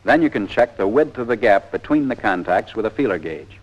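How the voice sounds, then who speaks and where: A man's voice: lowish voice, expressive, a little fast, muffled recording. An American man narrating a 1940s army training film in a scripted, plain-spoken, methodical instructional style.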